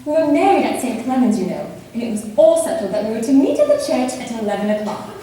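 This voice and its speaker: A woman's voice: on the high side, sing-song, quick pace, dark tone. A woman playing a giddy, boastful young newlywed sister in an amateur period stage comedy, chattering in a gushing sing-song. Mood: giddy boasting. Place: theatre stage, miked from afar. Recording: echoey hall, distant mic, faint noise.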